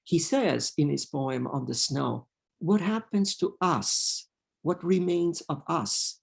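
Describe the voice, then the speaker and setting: Slow, gravelly Italian-accented male lecturer. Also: on the high side, expressive. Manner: hesitant, many fillers, reads verse slowly. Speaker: literature professor. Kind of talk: lecture with poetry reading.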